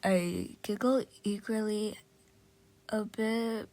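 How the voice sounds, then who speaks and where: Slow hoarse woman reading a story. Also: medium pitch, fairly even intonation, warm tone. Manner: slow, stumbling, chatty. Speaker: audiobook reader. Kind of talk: reading fan fiction aloud.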